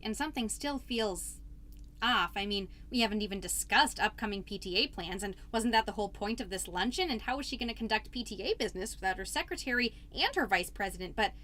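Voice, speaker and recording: A woman's voice: medium pitch, expressive, a little fast, bright, forceful tone. A woman playing an earnest, anxious suburban mom podcaster in a rambling, self-doubting comedic monologue that tends toward grand declarations. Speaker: podcast host character.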